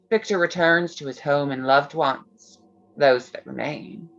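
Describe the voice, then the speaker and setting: A woman playing a composed, defiant young authoress telling a tale in a staged reading, measured and solemn. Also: low voice, a bit bright, dull audio. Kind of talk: dramatic storytelling in staged reading.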